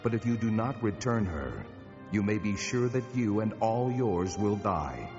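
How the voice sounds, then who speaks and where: A man's voice: lowish voice, animated, unhurried. A man playing a stern, commanding God in a Bible audio drama, speaking with solemn authority. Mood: stern warning. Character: God, stern commanding divine judge in a dream. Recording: long cavernous reverb, dull audio, music.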